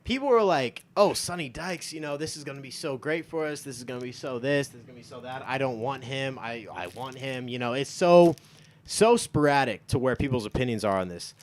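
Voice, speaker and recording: A man's voice: mid-range voice, brisk, slight background noise. A man in his twenties with an American accent, chatting casually about sports as a show guest, emphatic, opinionated and loose with swearing. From United States, born in Texas and from Iowa.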